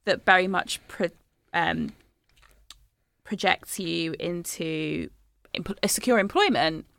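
A woman's voice: on the low side, slow pace, vocal fry, no room sound. A young woman hosting an interview, chatting enthusiastically and laughing easily with her guest. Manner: warm, enthusiastic, laughs readily. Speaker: podcast host. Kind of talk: conversational interview.

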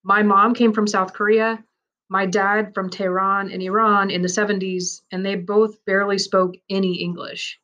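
A woman narrating a man's first-person story aloud, in a measured, reflective and earnest way. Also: medium pitch, little pitch movement, fairly bright tone, dull audio.

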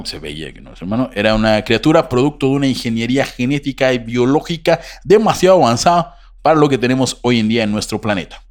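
A man hosting a podcast, telling a story casually with a joking manner. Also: medium pitch. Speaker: podcast host.

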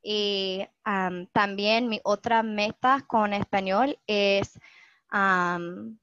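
A young woman whose first language is English, talking slowly and thoughtfully in learned Spanish as a podcast guest, with many "am" fillers and restarts. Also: medium pitch, steady tone, fairly bright tone, clean tone, dry recording. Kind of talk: casual conversation in learned Spanish. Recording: dull, dry lo-fi recording.

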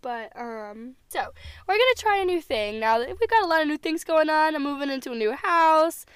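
A young woman co-hosting a casual podcast from a parked car, hoarse from a cold, chatty, laughing and restarting her words. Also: high voice, animated, normal speed, dry recording, clean studio sound. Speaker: podcast co-host. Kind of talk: casual chat.

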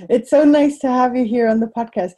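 A woman's voice: on the high side, warm tone. A woman hosting a podcast, talking enthusiastically and laughing often. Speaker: podcast host. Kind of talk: podcast interview.

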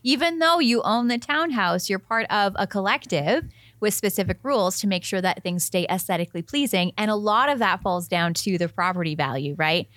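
A woman's voice: mid-range voice, expressive, a little fast, a bit bright, no room sound. A woman chatting casually as co-host of a gardening show, agreeable and responsive. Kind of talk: casual chat.

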